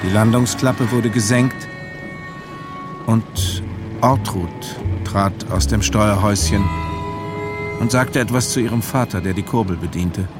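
Low-voiced male literary narrator. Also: measured pace, long pauses, over loud music. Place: studio.

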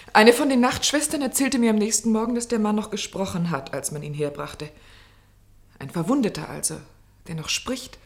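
An actress in a German radio play as a conscientious, persistent clinic assistant, formal and restrained but pointedly reproachful. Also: female voice, fairly low pitch, natural voice.

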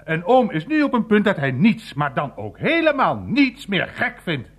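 An actor playing a teasing, worldly old uncle in a radio play, blustering and mocking in drawn-out, emphatic phrases. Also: male voice, sits high, huge pitch swings, fairly bright tone, slightly rough, heavily acted. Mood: boastful storytelling. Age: older.

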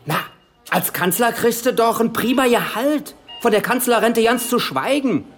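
Cheeky bearded chap, matey and nosy, colloquial chatter, satirical radio play. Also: male voice, extremely high pitch, animated, moderate pace, a bit bright, faint music behind. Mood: chummy, nosy. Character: cheeky, chatty bearded bloke, a star-struck hospital neighbour.

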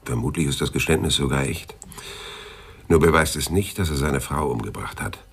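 An actor playing a terse, sardonic, loyal private detective in a German radio crime drama, with a dry, laconic delivery. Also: male voice, rumbling low, moderate pace, husky. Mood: insistent. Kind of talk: German radio crime drama, dialogue and first-person narration.

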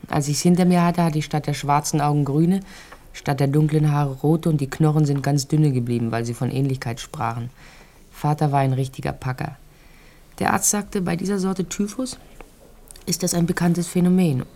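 A woman's voice: sits low, moderate pace. An actress in a radio drama playing a talkative, naive and frank young Ukrainian-born woman who tells her life in a rambling, conversational way. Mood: matter-of-fact, chatty. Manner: rambling storytelling, occasional hushed phrases.

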